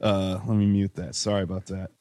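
A man's voice: low voice, flat delivery, brisk, warm tone, slight background noise. A man from Chicago with a Chicago accent, a musician giving an interview, talking in a loose, rambling way full of fillers and restarts. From Chicago, with Welsh roots. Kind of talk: casual interview chat.

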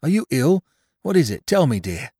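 A man's voice: rumbling low, normal speed, no echo, studio quality, pitched below the performer's own voice. A man voicing a kindly, earnest male carrier in a storybook reading. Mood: anxious.

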